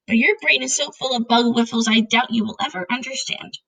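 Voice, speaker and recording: A woman voicing an excitable, indignant male storybook giant who speaks emphatically in mangled grammar and nonsense words. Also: on the high side, a little fast, gravelly, slightly rough, full character voice. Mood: exasperated scolding.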